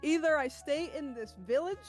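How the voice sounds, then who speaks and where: A woman's voice: sits high, normal speed, music in the background. A young woman guesting on a casual stream, rambling and giggling as she corrects herself. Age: young adult.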